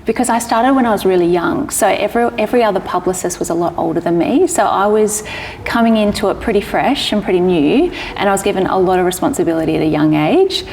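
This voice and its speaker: An Australian woman from Sydney in her thirties, chatting as an interview guest and telling stories quickly with many fillers. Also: medium pitch, expressive, a little mellow, in a large hall, slight background noise. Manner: chatty, fast run-on storytelling with many "like"s. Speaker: guest, publicist and entrepreneur. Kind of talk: casual interview chat.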